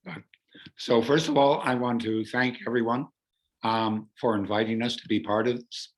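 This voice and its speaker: A hoarse man from Canada with laryngitis, an artist earnestly explaining his artwork over a video call. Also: mid-range voice, fairly even intonation, normal speed, low-quality recording.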